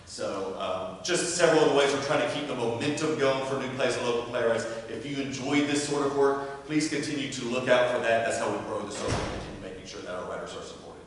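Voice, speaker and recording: A man's voice: medium pitch, quick pace, hardly any pauses. A man hosting a theater event, making informal announcements to an audience. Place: large indoor theater hall, distant microphone. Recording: distant, very echoey hall, faint noise.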